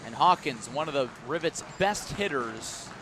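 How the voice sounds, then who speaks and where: A man's voice: mid-range voice, lively intonation, a little slow, fairly bright tone, slight background noise, low-quality recording. An American man calling live baseball on the radio as play-by-play announcer, narrating dramatically in short, clipped phrases. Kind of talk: live radio sports commentary.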